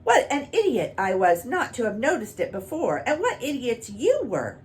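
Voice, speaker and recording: A woman's voice: medium pitch, wildly swooping pitch, moderate pace, fairly bright tone, pitched above the performer's own voice. A woman voicing a vain, fussy king who blusters and commands in a grand, irritable manner. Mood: excited. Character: pompous vain fussy king, man, middle-aged.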